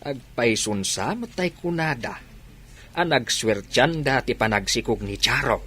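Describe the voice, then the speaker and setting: A man's voice: mid-range voice, animated, a little slow, fairly bright tone, husky. An actor playing an older father chatting about family matters in a drama. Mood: conversational, earnest.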